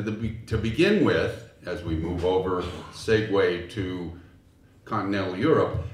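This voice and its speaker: A man with a lowish voice moderates a panel, breaking his sentences into quick, choppy asides. He is picked up from a distance in an echoey hall. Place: lecture hall.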